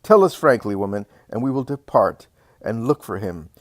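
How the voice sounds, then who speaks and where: A man's voice: lowish voice, expressive, moderate pace, no echo, studio quality, natural voice. A man playing a pompous, mocking male religious elder, insinuating and insistent in questioning, in a dramatic story reading. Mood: coaxing. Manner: insistent, smooth-tongued questioning.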